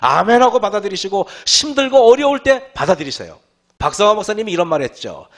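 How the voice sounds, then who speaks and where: A male pastor giving a sermon, with a hoarse voice and frequent throat clearing, often calling out to the congregation and asking rhetorical questions. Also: sits high, big pitch swings, fast.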